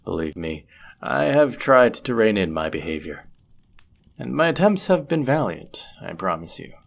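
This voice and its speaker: A man's voice: on the low side, very animated, normal speed, vocal fry, exaggerated voice. A man playing a flirtatious, courteous medieval fantasy innkeeper in a roleplay audio drama, with formal, ornate, teasing phrasing. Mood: playful, proud. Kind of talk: scripted roleplay audio drama, addressing the listener.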